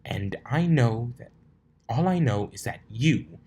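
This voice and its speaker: A man's voice: medium pitch, animated, slow, darkish tone, slightly rough, voice pushed up. A man voicing a prim, bossy unicorn mare shop owner, stern but amused, scolding and instructing in an amateur storybook reading.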